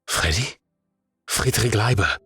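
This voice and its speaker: A man's voice: lowish voice, lively intonation, slow, pushed and bright, dry recording, clean studio sound, pitched above the performer's own voice, exaggerated voice. A man voicing a frightened, disbelieving trench soldier in an audiobook, shaky and urgent. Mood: afraid, disbelieving. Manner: shaky, urgent, breathy outbursts. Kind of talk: dramatic audiobook character voice.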